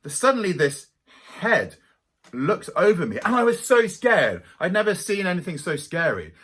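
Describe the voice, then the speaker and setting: A man's voice: on the high side, breathy edge. A middle-aged man with a light Welsh accent telling dramatic spiritual stories to camera. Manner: animated, dramatic storyteller. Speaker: spiritual teacher. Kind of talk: storytelling to camera.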